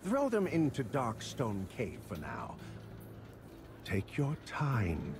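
A man voicing a calm, pragmatic gang patriarch who speaks with steady authority. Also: medium pitch, takes it slowly. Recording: game voice with music behind.

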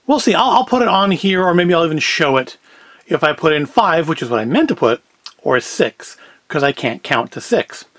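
A man's voice: fairly high pitch, very animated, normal speed, a bit bright, dry recording. A man, chatting casually through a food review in a wry, self-deprecating, rambling way. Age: 40s. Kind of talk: casual review chat to camera.

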